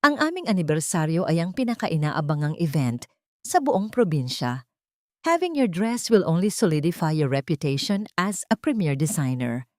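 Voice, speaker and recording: Audio drama role: haughty society woman with shaky poise, done by a female narrator, measured, lower-pitched. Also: big pitch swings, darkish tone, no room sound, clean studio sound. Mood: insistent, boastful. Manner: forced firmness, measured. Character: proud socialite woman, insistent, rattled under her poise.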